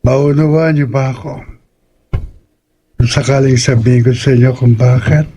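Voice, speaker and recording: Audio drama role for a man: brooding drinker, defensive, monotone, mid-pitched and heavy with regret. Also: normal speed, breathy edge, natural voice. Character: brooding, secretive drinking man haunted by loss. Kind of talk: horror audio drama acting.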